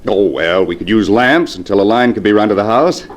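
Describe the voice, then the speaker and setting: An actor playing a devoted husband drawn to a haunted house, in theatrical old radio drama style. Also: male voice, lowish voice, animated, a little fast, lightly acted. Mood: eager, fond. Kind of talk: 1950s radio drama acting. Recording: noisy vintage radio drama recording.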